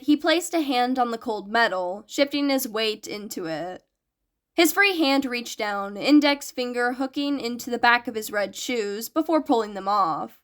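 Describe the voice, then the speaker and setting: Higher-pitched woman narrating a story. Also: a bit bright. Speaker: podfic narrator. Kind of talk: scripted narration of fan fiction.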